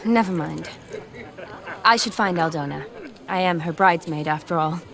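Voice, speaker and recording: An actress voicing a gentle young woman in a game cutscene, speaking in a soft, measured, wistful way. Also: fairly low pitch, vocal fry, a crowd in the background.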